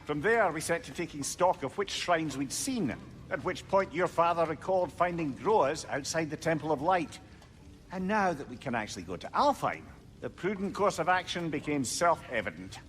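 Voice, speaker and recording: An actor playing a wise, wordy, friendly seer in a video game, in a reverberant space. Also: male voice, on the high side, expressive, normal speed, fairly bright tone, soft background music. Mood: warm, assured.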